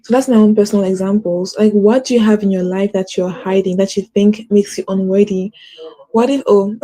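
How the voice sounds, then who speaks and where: Mellow-voiced woman host who stutters. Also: medium pitch, rough recording. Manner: stutters, uses many fillers; earnest, encouraging. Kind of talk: casual motivational talk.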